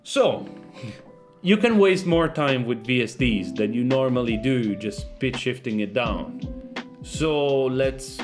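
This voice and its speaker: A man's voice: mid-range voice, animated, takes it slowly. Guy doing a laid-back audio tutorial, mid-range voice, loose informal wording, music behind him. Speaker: sound designer presenting a tutorial. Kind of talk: casual software tutorial.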